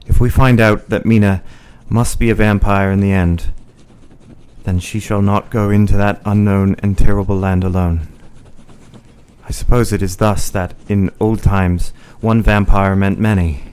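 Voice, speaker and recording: A man in a radio play acting a devoted, sombre young Victorian husband, with a smooth voice and earnest, literary diction. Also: on the low side, level tone, a little slow, a little mellow, lightly acted. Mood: sombre, resolved.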